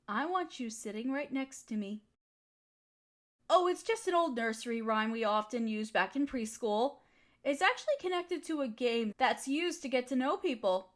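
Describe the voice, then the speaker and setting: Casting: actress as affectionate, softly dominant female partner for intimate audio roleplay; high, very animated, teasing and comforting. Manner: flirty, soothing, playfully teasing.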